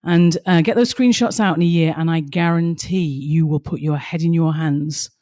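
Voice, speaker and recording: Woman, lowish pitch, passionate storytelling, slightly strained voice, podcast co-host. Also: fairly even intonation, moderate pace, dark tone. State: slightly strained, losing her voice. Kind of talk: casual podcast chat with stories.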